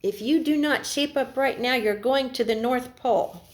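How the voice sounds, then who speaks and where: A woman voicing Santa Claus as a stern old man scolding naughty elves in a slow, emphatic way. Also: sits high, lightly acted.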